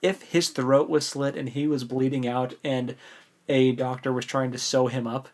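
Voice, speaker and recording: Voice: mid-range voice, flat delivery, normal speed, a little mellow. A podcast co-host with an American accent, chatting informally and with some amusement about a book. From United States. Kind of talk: casual book discussion.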